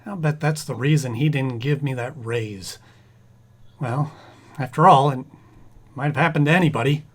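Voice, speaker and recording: A man's voice: medium pitch, normal speed, slightly rough, close to an everyday voice. A man acting a flustered, eager young husband and employee in an old-time radio sitcom, stammering and earnest. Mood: worried.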